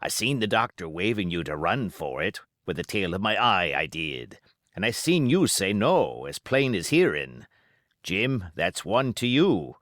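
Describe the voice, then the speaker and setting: Audiobook role: male reader as a sly, smooth-talking old-salt pirate, low pitch, swinging intonation, rustic sailor grammar. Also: sing-song, unhurried, a bit bright, no room sound, clean studio sound, exaggerated voice. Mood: confiding, wary. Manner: rustic seafaring speech with ungrammatical turns. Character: cunning one-legged male pirate, folksy, scheming, smooth-talking. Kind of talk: audiobook character dialogue.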